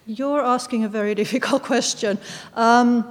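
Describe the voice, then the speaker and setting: A woman author from Finland answering audience questions, speaking thoughtfully with frequent pauses and fillers. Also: on the high side, fairly even intonation, in a large hall, a little hiss or hum. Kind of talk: discussing her book with an audience.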